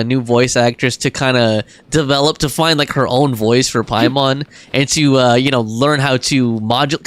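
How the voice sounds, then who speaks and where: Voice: mid-range voice, a bit bright. A game livestreamer chatting casually and informally with viewers, reacting and thinking aloud. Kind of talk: casual chat, reading out game dialogue and viewer comments.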